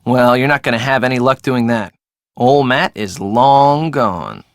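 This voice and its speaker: A man's voice: mid-range voice, animated, a little slow, no echo, clean studio sound. An actor playing a defensive, glib young American man in casual, conversational video game dialogue. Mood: guarded.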